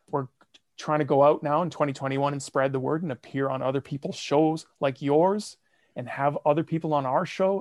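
A man's voice: medium pitch, creaky, no echo, studio quality. A man guesting on a podcast, talking casually and chattily about making music at home. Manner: relaxed and chatty, with frequent "like" and light laughs. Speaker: guest, comedy fiction podcaster and songwriter. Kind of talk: casual interview chat.

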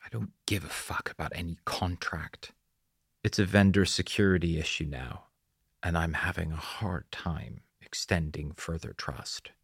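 A man's voice: low voice, fairly even intonation, unhurried, vocal fry, breathy edge, no room sound, studio quality, exaggerated voice. A man playing a curt, sarcastic, menacing boss of mercenaries in an audiobook. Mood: hard, distrustful.

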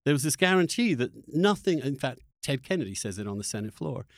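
A man's voice: medium pitch, big pitch swings, a little fast, no echo, clean studio sound. A man from England hosting a conversational political discussion, speaking in a reflective, personal way and often repeating words as he works out a thought. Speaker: podcast host.

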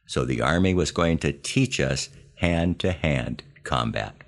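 An older American man tells scripted stories from his own life. His voice sits extremely low and has a heavy, gravelly creak. He delivers it with wry self-deprecation, leaving deliberate pauses for emphasis. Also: lively intonation. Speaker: podcast host and storyteller. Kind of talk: scripted humorous memoir narration.

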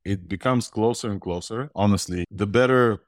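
A low-voiced man with a mixed Russian and Israeli accent hosts a tech talk show. He speaks with eager enthusiasm and rambles through restarts. The recording is close and dry. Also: moderate pace, no echo. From Ukraine. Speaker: podcast host. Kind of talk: casual tech news talk.

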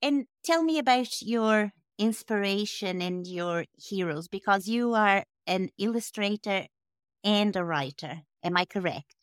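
Slow-speaking woman host, smooth clear voice. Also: medium pitch, animated, no echo, clean studio sound. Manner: warm, curious, asks questions, unhurried. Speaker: podcast host, interviewer. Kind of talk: casual interview conversation.

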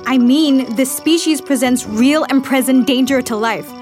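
An actress as a high-voiced, firm researcher. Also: female voice, moderate pace, darkish tone, soft background music, natural voice. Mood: urgent, defiant. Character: firm, alarmed female lab researcher. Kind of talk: immersive audio drama acting.

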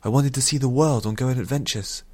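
A man's voice: medium pitch, fast, warm tone, voice pushed up, natural voice. A man voicing a young runaway princess in a storybook, agitated, pleading and guilty. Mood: tearful confession.

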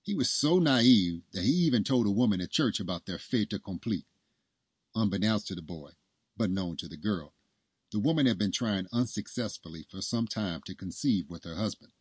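A man narrates a scripted story as a storyteller. His voice is fairly low and husky, recorded dry with hardly any room sound. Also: animated, normal speed, dry recording.

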